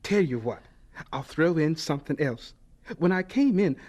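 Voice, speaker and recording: A man's voice: fairly high pitch, expressive, normal speed, a little mellow. An actor playing an earnest, polite young male cook in a radio drama, deferential yet frank and given to exclamation. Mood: eager, frank.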